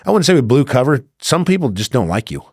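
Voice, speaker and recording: A man's voice: mid-range voice, sing-song, fast, slightly rough, no room sound, clean studio sound. A middle-aged man telling casual anecdotes as a podcast guest, acting out both sides of conversations. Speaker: podcast guest, former undercover agent.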